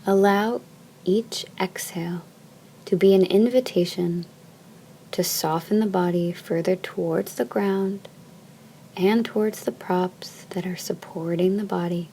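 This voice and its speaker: Soft, mellow woman guiding deep relaxation. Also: fairly even intonation, slight background noise, rough recording. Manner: soft, soothing, measured phrasing with long pauses. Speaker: yoga nidra teacher. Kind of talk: guided meditation, slow instruction.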